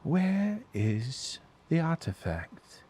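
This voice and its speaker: Guy doing a cold elf villain in a fantasy game, drawn-out menacing questions, very deliberate. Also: on the high side, huge pitch swings, warm tone, slightly rough, pitched above the performer's own voice, full character voice.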